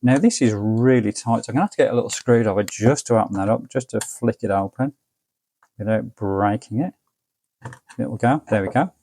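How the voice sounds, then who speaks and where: A man's voice: fairly low pitch, fairly quick, darkish tone. A man presenting a casual product review, explaining details in a methodical, matter-of-fact way. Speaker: product reviewer and channel host.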